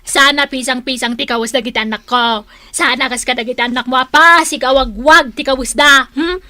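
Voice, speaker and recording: A feuding neighbor housewife in a radio drama. Her high, forceful voice is mocking and scolding, with a sharp tongue for taunts. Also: steady tone, fairly quick, dry recording. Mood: taunting, angry. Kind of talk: Ilocano radio drama acting.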